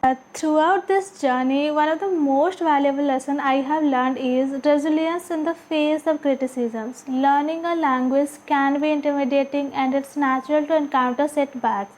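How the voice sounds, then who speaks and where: High-pitched woman, non-native English speaker. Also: steady tone, normal speed, hardly any pauses, darkish tone, low-quality recording. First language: not English. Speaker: language-learning video creator. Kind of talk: scripted monologue, read aloud.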